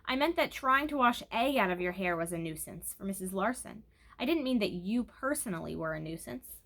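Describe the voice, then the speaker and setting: A woman's voice: medium pitch, animated, a little fast, fairly bright tone. A woman voicing a kindly, reasonable female schoolteacher in a storybook reading. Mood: surprised, reassuring.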